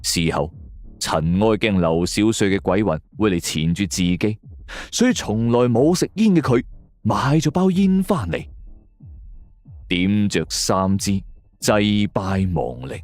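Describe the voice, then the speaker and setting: Low-voiced Cantonese man telling suspense stories, animated. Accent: Hong Kong Cantonese. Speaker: audio drama narrator. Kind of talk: dramatic suspense storytelling, scripted first-person narration. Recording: music bed under the voice. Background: background music.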